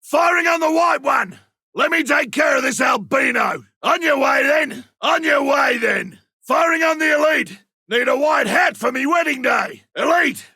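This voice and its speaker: An actor playing a rugged, cocky monster hunter in a video game, a man with a rough gravelly Australian voice and a blunt, forceful way of calling out. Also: very high-pitched, expressive, unhurried, dry recording, clean studio sound, full character voice. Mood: shouted warning. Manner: colloquial, blunt, forceful delivery. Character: gruff male monster hunter, rugged, cocky, seasoned trapper. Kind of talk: video game combat barks and callouts.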